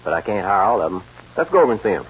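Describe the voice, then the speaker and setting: Easygoing rancher, American, animated and folksy, muffled 1940s radio western sound. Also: male voice, sits low, rapid-fire, slight background noise. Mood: puzzled.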